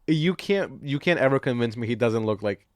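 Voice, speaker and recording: A man's voice: medium pitch, expressive, moderate pace, dry recording, studio quality. A man co-hosting a podcast in casual chat, bantering in a joking, slangy, sweary way. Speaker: podcast co-host. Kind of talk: casual chat about movies.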